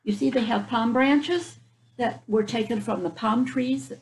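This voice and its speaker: An older woman reads a children's picture book aloud on a front porch. Her voice sits a little high and has a noticeable creak. She pauses to explain things simply, with faint outdoor noise in the recording. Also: darkish tone, poor audio. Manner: explanatory asides in simple words. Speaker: children's story reader. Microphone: camera a few feet away.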